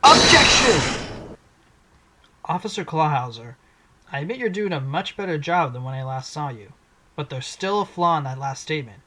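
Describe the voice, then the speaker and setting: A man voicing a wry, sharp-witted fox defense attorney in a fan audio drama, insistent as he questions a witness. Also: a little high, animated, normal speed, slight background noise, low-quality recording. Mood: challenging. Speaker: defense attorney cross-examining. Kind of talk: fan audio drama acting with inner monologue. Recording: loud music under the voice. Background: loud music.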